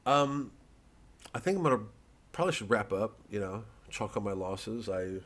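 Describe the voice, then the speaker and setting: Low-voiced man rambling haltingly to his audience. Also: lively intonation. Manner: halting, digressive, self-deprecating. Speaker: comedian. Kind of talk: rambling casual monologue to an online audience.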